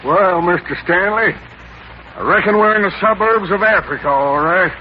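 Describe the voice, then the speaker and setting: Male actor as explorer's sidekick: high voice, folksy slang, wisecracking, muffled old radio comedy sound. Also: normal speed, natural voice. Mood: wry. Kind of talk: 1930s radio comedy acting. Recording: muffled, hissy 1930s radio broadcast.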